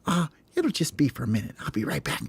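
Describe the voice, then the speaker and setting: A man's voice: on the high side, very animated, moderate pace, no echo, pitched above the performer's own voice. A man voicing a cocky, lovestruck young male sparrow who captains a basketball team, talking in a breezy, boastful way. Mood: confident. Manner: boastful, breezy, easily distracted.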